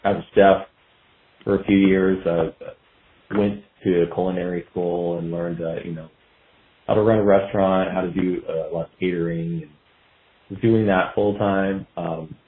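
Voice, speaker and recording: A man answering casually in a podcast interview, telling his story in a loose, halting, reflective way. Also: low voice, fairly even intonation. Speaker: guest musician, guitarist. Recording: dull phone-like line, roomy echo.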